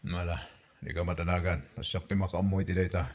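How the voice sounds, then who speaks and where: A man's voice: deep voice, level tone, fairly quick. A man with an Ilocano accent playing a hesitant, protesting husband caught between wife and mother in a comedy drama. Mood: reluctant.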